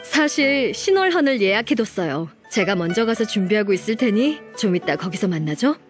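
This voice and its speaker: A woman's voice: medium pitch, sing-song, a little fast, soft background music, natural voice. A woman acting an earnest, grateful young secretary. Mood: friendly, inviting. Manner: polite, heartfelt, hesitant. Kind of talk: video game voice acting.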